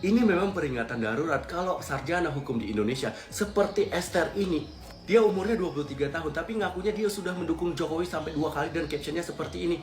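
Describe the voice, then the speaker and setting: A man's voice: fairly high pitch, fast. Mocking man in an echoey room. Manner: mocking, chuckles while talking. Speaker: commentator. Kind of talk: online commentary video. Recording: echoey room with music underneath.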